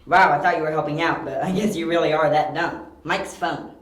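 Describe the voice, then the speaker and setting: A man playing a clever, determined young detective in an amateur audio drama, wry and quick-witted. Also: on the high side, fairly even intonation, fairly bright tone, close to an everyday voice. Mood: wry, confident. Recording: echoey room, amateur home recording.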